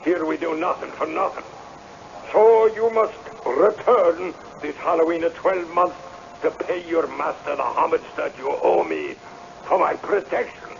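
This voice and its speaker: An actor playing an imperious, crafty old laird in a radio drama, with a theatrical, blustering manner. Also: male voice, a little high, measured pace, creaky. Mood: sly, commanding. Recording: dull, hissy vintage radio broadcast.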